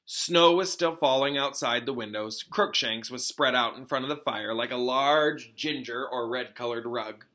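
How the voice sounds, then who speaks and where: A man's voice: mid-range voice, moderate pace, hardly any pauses, pushed and bright. A man reading a novel aloud as a teacher, expressively, with informal explanatory asides.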